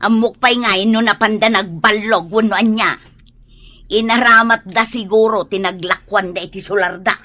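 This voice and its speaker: Casting: gossipy, defensive Ilocano housewife, bright forceful voice, insistent, radio drama. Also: female voice, mid-range voice, fairly even intonation, normal speed. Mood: curious. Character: nosy, defensive wife who gossips about neighbours.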